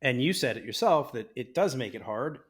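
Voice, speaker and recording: A man co-hosting a relaxed podcast, mid-pitched and loose with his language. He laughs often between points and slips into a sincere, reflective tone when he talks about trust and feeling safe with friends. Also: brisk, breathy edge. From Mexican-American. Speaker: podcast co-host. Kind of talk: casual chat.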